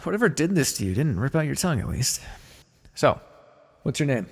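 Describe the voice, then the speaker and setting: A man playing a blunt, callous, wryly businesslike necromancer in an ASMR fantasy roleplay, muttering in a deadpan, gravelly voice. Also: medium pitch, brisk. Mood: wry, matter-of-fact. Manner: deadpan, muttering to himself in thought. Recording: long reverberant hall echo.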